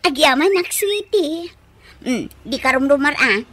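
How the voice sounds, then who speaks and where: A woman's voice: high-pitched, expressive, slow, noisy background. A woman playing a jealous, sweet-talking Ilocano wife in a comedic radio drama, coaxing and affectionate. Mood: possessive, affectionate.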